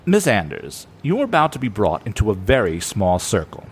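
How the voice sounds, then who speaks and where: An actor playing a suave, secretive professor and spy recruiter in an audio drama, formal and theatrical. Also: male voice, lowish voice, huge pitch swings, a little fast, husky, heavily acted. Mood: grave, secretive. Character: suave, secretive criminology professor man who recruits spies.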